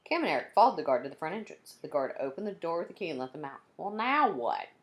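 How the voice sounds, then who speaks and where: A woman's voice: sits low, animated, rapid-fire. A woman teacher reading a children's chapter book aloud, adding friendly asides to her young listeners. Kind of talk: children's read-aloud narration.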